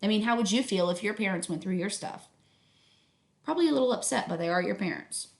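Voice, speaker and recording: A woman reading a children's book aloud as a teacher, instructive, pausing to put questions to students. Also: medium pitch, lively intonation, creaky. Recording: echoey room.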